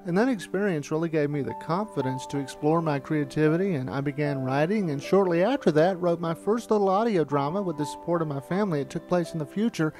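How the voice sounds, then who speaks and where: A man's voice: on the high side, quick pace, few pauses. A man narrating a reflective, anecdotal documentary retrospective as the filmmaker. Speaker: filmmaker looking back on studio's history. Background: music.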